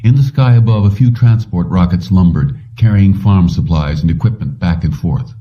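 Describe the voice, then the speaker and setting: Low-voiced man narrating, distant lo-fi recording. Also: moderate pace. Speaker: audiobook narrator. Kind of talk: scripted narration of a short story. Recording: dull lo-fi, distant from mic.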